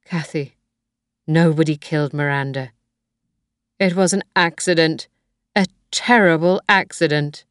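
A woman reading the lines of a gentle, reassuring husband in a soft, soothing way, as an audiobook. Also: low voice, a little slow, pauses often, clear, resonant tone, dry recording, clean studio sound.

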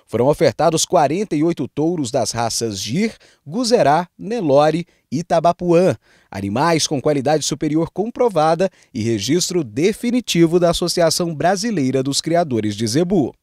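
A man's voice: fairly high pitch, moderate pace, no echo, studio quality. A young man reporting the news outdoors at a cattle fair, holding a handheld mic. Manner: lively, clear reporter delivery. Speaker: field reporter.

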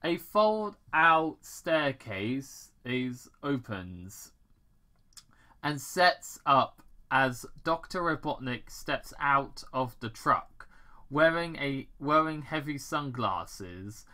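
A man's voice: medium pitch, bright, forceful tone. A man reading fan fiction aloud informally, stumbling over words and breaking into chatty asides.

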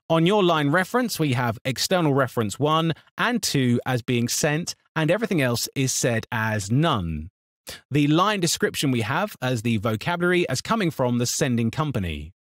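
A man narrating a scripted software tutorial in an instructional, step-by-step manner. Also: on the high side, no echo, studio quality.

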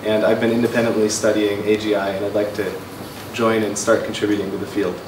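A man who owns a software company introducing himself to a class in an even, measured way. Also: on the low side, background noise. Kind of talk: self-introduction. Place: large classroom. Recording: echoey classroom from far off.

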